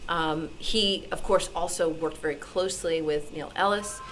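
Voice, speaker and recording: A woman with a low voice speaks informally at a meeting. She is modest and hesitant, feeling her way through sentences. Faint music is audible. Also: normal speed, poor audio. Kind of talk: informal panel talk at a club meeting.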